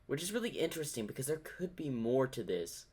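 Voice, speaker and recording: A man comments casually as a reactor. His voice sits at a medium pitch and moves in a lively way, sounding like informal talk. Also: fairly quick, breathy edge.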